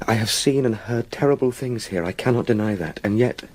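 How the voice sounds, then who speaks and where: Actor as an earnest young English gentleman. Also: fairly low pitch, a little fast, a little hiss or hum, lightly acted. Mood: reflective, earnest. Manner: polite, formal, earnest. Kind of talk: period radio drama acting.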